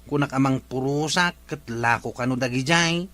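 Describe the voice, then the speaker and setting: A man's voice: medium pitch, normal speed, a bit bright, lightly acted. A man acting a plain-spoken, grumbling village man in a comedy radio drama, with a Filipino accent. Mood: conversational.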